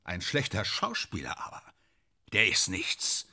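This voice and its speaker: A stage actor in torment in a radio play, vain and bitter. His bright, forceful voice leaps across a wide range, declaiming one moment and muttering the next, always dramatising himself. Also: sits low, wildly swooping pitch, a little slow, slightly rough, heavily acted. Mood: fervent. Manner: theatrical, declamatory, swinging between muttering and outbursts. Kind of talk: German radio play acting.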